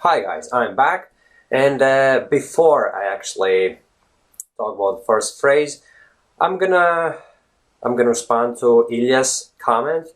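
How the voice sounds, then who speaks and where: A man's voice: mid-range voice, animated, a little slow. A man in his thirties from Latvia with a Latvian accent, teaching English in a casual video lesson, earnest and full of fillers and "right?". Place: indoors, virtual studio backdrop. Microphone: camera close-up, facing camera.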